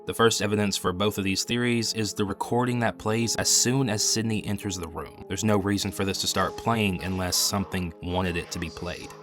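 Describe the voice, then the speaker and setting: Man, lower-pitched voice, scripted review narration, analytical host, faint background music. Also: a bit bright. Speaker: video essay host and horror reviewer.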